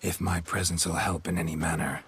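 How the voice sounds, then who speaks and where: A stoic monster hunter from a video game, with a very husky, low voice. He speaks tersely and with restraint, sounding measured and weary. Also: heavily acted. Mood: measured, calm. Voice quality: gravelly, husky. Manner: terse, restrained, even-handed. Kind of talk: video game cutscene acting.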